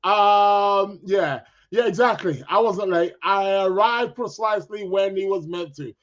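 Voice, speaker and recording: A man hosting a live astrology podcast in a chatty, upbeat way and greeting listeners by name. His voice is croaky and his nose is stuffed up. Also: high voice, unhurried. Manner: chatty, upbeat, gives viewers shout-outs. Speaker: podcast host. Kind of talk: casual live-stream chat with listeners. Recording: dull, lo-fi sound.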